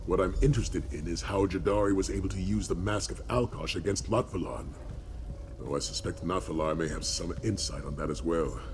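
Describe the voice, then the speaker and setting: Man as deep-voiced, grave warrior-scholar ally. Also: natural voice. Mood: earnest, intent. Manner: measured, expository storytelling. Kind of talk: fantasy video game acting. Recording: noisy game ambience behind.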